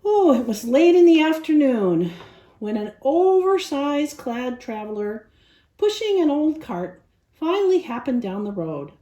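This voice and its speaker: Animated, high-voiced woman storyteller, roomy sound. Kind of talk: animated children's story reading.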